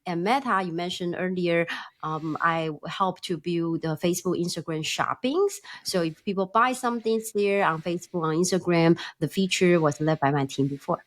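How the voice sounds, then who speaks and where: A woman's voice: lowish voice, moderate pace. A middle-aged woman from Taiwan with a Taiwanese accent, telling personal stories conversationally as an interview guest and acting out bits of dialogue. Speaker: podcast guest, company founder.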